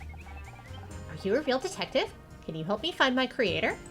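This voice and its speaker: A woman's voice: high-pitched, very animated, normal speed, fairly bright tone, soft background music, pitched above the performer's own voice. A woman voicing a small, eager, innocent and polite robot helper in a video game.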